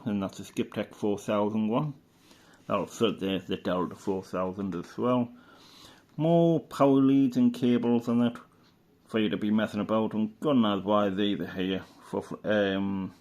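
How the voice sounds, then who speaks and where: A man's voice: lowish voice, darkish tone. A middle-aged man, talking informally and hesitantly as he thinks aloud through a show-and-tell. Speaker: hobbyist vlogger. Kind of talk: casual rambling show-and-tell commentary.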